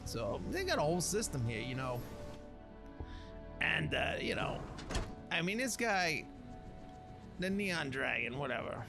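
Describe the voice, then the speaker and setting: A man with a gravelly voice, playing a streetwise storyteller in rambling, slangy talk over gameplay. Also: fairly high pitch, big pitch swings, unhurried, long pauses. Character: streetwise storyteller recounting a local legend. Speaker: let's player narrating a roleplay story. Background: game music.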